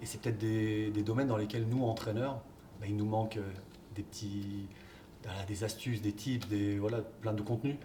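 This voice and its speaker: A man, a football coach, answering interview questions in a relaxed, hesitant way with many fillers. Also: fairly low pitch, moderate pace. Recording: distant mic, background noise.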